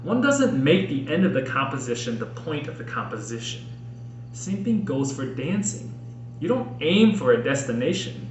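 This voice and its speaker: A man in his late thirties reading his own personal writing aloud, earnestly and emphatically, with dramatic pauses. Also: mid-range voice, very animated. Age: late 30s. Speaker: author and podcast host reading own book. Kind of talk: expressive audiobook-style personal narration. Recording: roomy echo, faint background noise.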